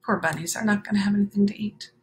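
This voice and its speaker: A woman reading a children's picture book aloud, expressive, with chatty explanatory asides to young listeners. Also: medium pitch, fast.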